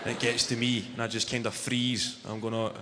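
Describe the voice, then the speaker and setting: Young Glaswegian stand-up man, deadpan and sweary, live arena with heavy noise. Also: mid-range voice, moderate pace, long reverb tail. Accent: Glaswegian Scottish. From Scotland. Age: 20s. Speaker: stand-up comedian. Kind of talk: stand-up comedy set. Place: large arena on stage. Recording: live arena with loud crowd. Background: audience laughter.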